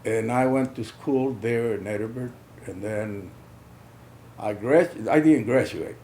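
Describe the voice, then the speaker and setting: An older man from South Texas recalling his youth in a halting way, often repeating words. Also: mid-range voice, darkish tone, slight background noise. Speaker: interviewee, veteran. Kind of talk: casual recollection.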